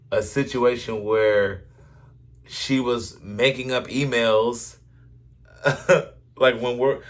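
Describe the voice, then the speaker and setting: A man chatting casually and telling stories in a playful, defensive, slangy way with plenty of swearing. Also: medium pitch. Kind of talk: casual chat, joking storytelling.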